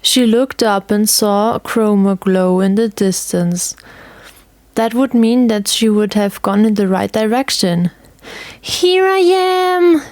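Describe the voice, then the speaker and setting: Female story narrator: medium pitch, scripted storytelling, dry studio-like sound. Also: steady tone, a little slow, darkish tone, no echo.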